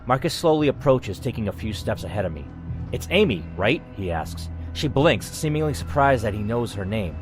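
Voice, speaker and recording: A man's voice: lowish voice, animated, brisk, hardly any pauses. A man reading a first-person game story aloud as a dramatic narrator. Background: music.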